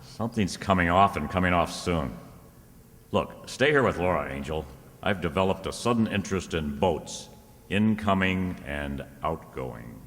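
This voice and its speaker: A man's voice: low voice, expressive, measured pace, fairly bright tone, a little hiss or hum. A man playing a dogged, wisecracking private investigator in an old-time radio drama, with clipped, slangy delivery. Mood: brooding, determined. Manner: hard-boiled, slangy, clipped. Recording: reverberant hall, stage-like sound.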